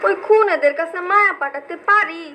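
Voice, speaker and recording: A woman's voice: very high-pitched, brisk, pushed and bright, slight background noise. A woman playing a defiant, protective village aunt in an acted story drama, resolute. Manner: resolute, colloquial. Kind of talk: acted short-story drama in rural Bengali.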